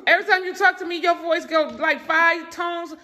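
Bright-voiced, bossy middle-aged woman. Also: extremely high pitch, steady tone, moderate pace. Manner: bossy, blunt, teasing, with colloquial slang. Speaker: vlog host and mother. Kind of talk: casual family vlog chat. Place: indoors at a family home.

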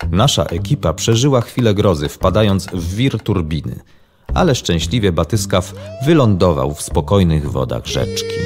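A man narrating a scripted children's story as a storyteller. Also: low voice. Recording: long reverb under music.

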